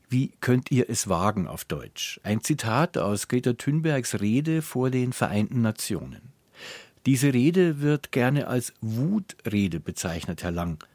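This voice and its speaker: A man's voice: medium pitch, a little mellow, no echo. A man interviewing as a radio host, putting articulate, reflective questions to a guest.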